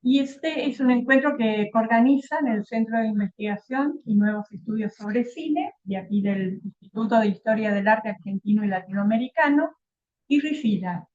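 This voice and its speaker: A woman with a Rioplatense Spanish accent introduces a lecturer at an academic event. Her voice sits at a middle pitch and sounds thin and phone-like. She reads the bio steadily, adding fillers and tag questions. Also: a little mellow. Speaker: event host. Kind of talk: formal introduction of a speaker. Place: lecture hall. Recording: tinny phone-like recording.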